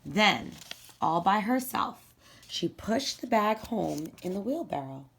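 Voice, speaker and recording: A woman reading a children's storybook aloud in a deliberate, emphatic, teacherly storytelling style. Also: medium pitch, big pitch swings, fairly bright tone, a little hiss or hum.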